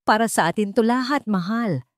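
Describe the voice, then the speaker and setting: A woman with a Filipino accent voicing a smooth, charming, self-assured wealthy male CEO in a story drama. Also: a little high, moderate pace, dry recording, clean studio sound, voice pushed up, close to an everyday voice. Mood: affectionate.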